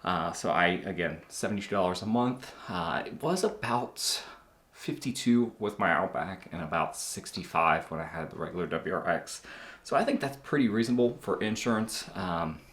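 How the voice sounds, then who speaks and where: Deep-voiced man in his thirties. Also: moderate pace, reverberant. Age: 30s. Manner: relaxed, chatty, lots of fillers. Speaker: car channel host. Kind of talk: casual talk to camera.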